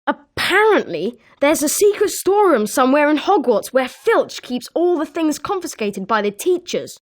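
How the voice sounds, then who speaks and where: A woman's voice: sits high, sing-song, moderate pace. A woman voicing a chatty, opinionated young student at a wizard school in scripted video game lines. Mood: helpful, eager.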